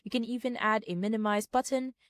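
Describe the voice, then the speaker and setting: A woman narrating a step-by-step software tutorial in an orderly, plain-spoken, instructional way. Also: fairly high pitch, fairly even intonation, a little fast, no echo, studio quality. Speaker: tutorial presenter.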